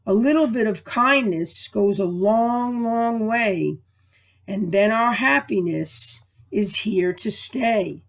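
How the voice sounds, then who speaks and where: Slow, kindly Easter Bunny read by a woman. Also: mid-range voice. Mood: grateful, gentle. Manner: gentle, measured, moralizing. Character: kindly, wise male Easter Bunny in a storybook.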